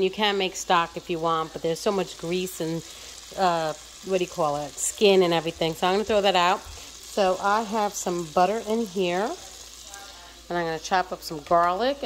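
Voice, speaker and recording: A woman's voice: lowish voice, slight background noise. A woman from the United States in her home kitchen, chatting through each step of her meal prep as she cooks. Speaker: home cook vlogger. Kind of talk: casual cooking narration.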